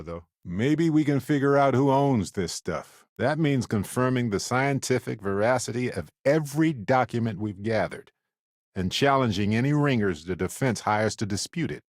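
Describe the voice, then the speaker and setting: An actor playing a warm, wry, chatty space explorer companion in a video game, with an affable, teasing and theatrical delivery. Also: male voice, lowish voice, no echo, studio quality.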